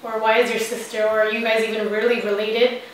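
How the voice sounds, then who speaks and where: A woman answers interview questions in a casual, hesitant way, full of fillers and restarts. Her voice sits a little high and comes from some distance off the mic. The room around her is very echoey. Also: little pitch movement, fairly quick, a little hiss or hum.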